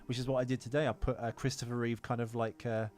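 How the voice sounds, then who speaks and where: A man's voice: mid-range voice, level tone, fast talker, darkish tone, soft background music. A man co-hosting a casual film podcast, talking in an opinionated, rambling way with plenty of swearing. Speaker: podcast co-host.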